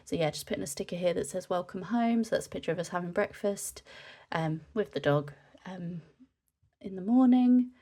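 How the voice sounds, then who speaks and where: A young woman from the UK, a scrapbooking vlogger narrating casually, her voice nasal from a cold, chatting in a relaxed way with frequent ums. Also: lowish voice, normal speed. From United Kingdom.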